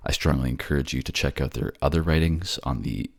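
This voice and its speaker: A man's voice: extremely low pitch, a little fast, vocal fry. A man who voice acts for his own channel, speaking directly to his listeners as narrator and creator. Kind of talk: narration and casual sign-off to listeners.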